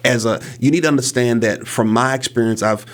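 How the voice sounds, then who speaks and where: A man, a pastor speaking as a guest on a panel discussion, talking in an earnest, measured way and building his points through repeated phrases. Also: mid-range voice.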